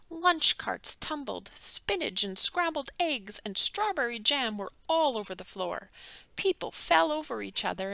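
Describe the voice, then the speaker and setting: A woman narrating a children's bedtime story with animated, dramatic emphasis and drawn-out words. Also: a little high, creaky, no room sound. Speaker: children's book read-aloud narrator.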